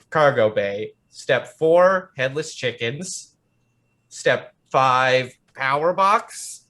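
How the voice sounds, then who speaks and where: A tabletop game player talking through a plan step by step with dry humor. The voice moves lively over a wide pitch range at a medium pitch. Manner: wry, planning out loud. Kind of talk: casual table chat.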